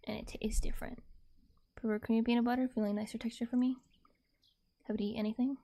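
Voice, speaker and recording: A woman's voice: a little high, level tone, fast, vocal fry, warm tone. A woman chatting casually as she hosts a livestream, talking to her viewers.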